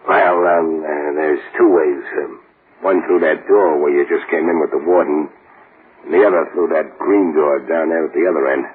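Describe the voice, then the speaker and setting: A man's voice: a bass voice, steady tone, normal speed, breathy edge. A man playing a cocky, scheming condemned gangster with sardonic tough-guy slang and broken grammar, in old-time radio style. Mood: sly, knowing. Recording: muffled, hissy old radio recording.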